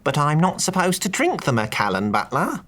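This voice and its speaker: A man's voice: on the high side, huge pitch swings, fairly quick, fairly bright tone, pitched above the performer's own voice. A man doing a blustering, huffy old lord. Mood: childlike. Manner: blustering, indignant. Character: blustering short-tempered aristocratic lord.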